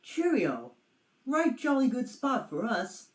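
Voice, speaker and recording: A timid, jolly storybook dragon lad voiced by a woman pipes up in a high voice. He is excitable and old-fashioned in his exclamations, and the room echoes around him. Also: slow pace. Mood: excited. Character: excitable, timid young male dragon with posh British airs.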